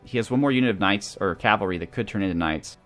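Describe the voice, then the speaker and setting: A man's voice: fairly low pitch, quick pace, fairly bright tone, soft background music. A man giving casual gameplay commentary as a let's play host, thinking aloud through his moves.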